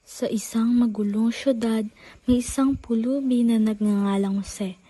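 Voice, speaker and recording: A woman's voice: on the high side, steady tone, unhurried, mellow, dry recording. A woman announcer reading a scripted introduction and narration for a radio drama. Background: music.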